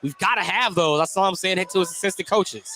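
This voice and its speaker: An American man doing live radio play-by-play of basketball, with clipped running descriptions and drawn-out calls on baskets. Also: high-pitched, sharp tone. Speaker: play-by-play commentator. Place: courtside in a basketball gym. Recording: some noise, gym ambience.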